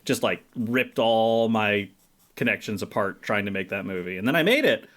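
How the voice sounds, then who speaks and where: A man's voice: on the low side, animated, moderate pace, fairly bright tone. A man talking as a podcast guest in a casual chat, a goofy storyteller who laughs often.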